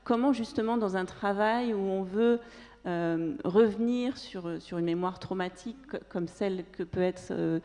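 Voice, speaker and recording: A woman moderating a live panel asks her questions haltingly, correcting herself as she goes. Her voice rings out with the long reverb of a theater hall. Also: medium pitch, warm tone. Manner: halting questions with many fillers. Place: theatre stage.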